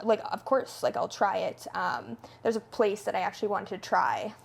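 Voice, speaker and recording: A woman in her twenties talks freely as a podcast guest. Her voice sits at a mid pitch. She is upbeat and chatty, with plenty of "like" and quick restarts. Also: fairly even intonation, gravelly, breathy edge. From Canada. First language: Italian. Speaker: podcast guest, food reviewer. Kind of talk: casual podcast chat.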